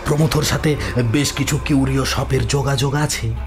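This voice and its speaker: A male actor playing a gloating, ruthless villain in a horror audio drama, menacing and repeating his words in a halting way. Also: mid-range voice, fairly even intonation, music in the background, close to an everyday voice. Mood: hushed, ominous. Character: gloating, ruthless male villain, kidnapper obsessed with occult secrets.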